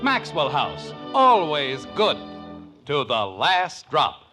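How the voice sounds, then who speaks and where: A man's voice: fairly high pitch, wildly swooping pitch, takes it slowly, full character voice. A man playing a genial, whimsical 1950s radio announcer, with theatrical, neighborly delivery on an old broadcast. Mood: smooth selling. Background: music.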